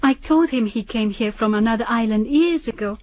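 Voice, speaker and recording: An actress playing a devoted, earnest young Japanese island woman in a radio drama, gently pleading. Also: a little high, a little fast, dry recording, close to an everyday voice.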